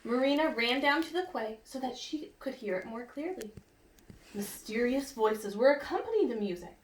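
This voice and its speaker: A woman reading a picture book aloud to children in an expressive, teacherly way, pausing to ask questions and explain. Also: fairly high pitch. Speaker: read-aloud storyteller for children. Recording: roomy, distant mic with faint noise.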